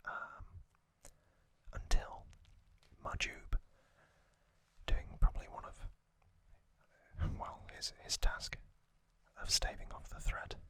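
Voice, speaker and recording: Whispering male ASMR host. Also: sharp tone. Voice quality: breathy unvoiced whisper. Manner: unhurried, halting, short phrases.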